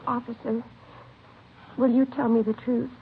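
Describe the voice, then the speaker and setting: Earnest, creaky-voiced middle-aged aunt. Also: female voice, on the high side, flat delivery, a little slow. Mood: shocked, grieving. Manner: earnest, doting, anxious. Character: protective, trusting aunt of a wayward young man. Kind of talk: vintage radio drama acting. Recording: lo-fi vintage radio recording, faint hiss.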